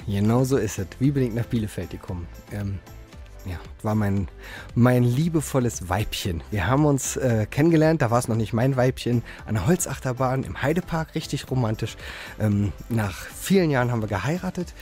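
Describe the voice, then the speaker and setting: A man's voice: medium pitch, animated, moderate pace, a little mellow, soft background music. A man with a Berlin-Brandenburg accent, a musician guest chatting casually in a radio interview, with lots of fillers and repeated agreement. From Brandenburg, Germany.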